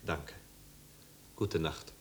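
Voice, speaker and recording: An actor playing a tormented, melancholy young wanderer in a 1950s radio drama, brooding and anguished. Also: male voice, drawn-out words. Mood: weary, despondent. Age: young adult. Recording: old radio recording with hiss and hum.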